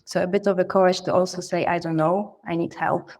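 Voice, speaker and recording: A Polish-born woman speaks in a lowish, level voice, reflective and earnest. She often repeats and restarts words as she thinks aloud in an interview. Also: level tone, fairly quick, a little mellow. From Poland. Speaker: guest, finance leader. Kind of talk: podcast interview.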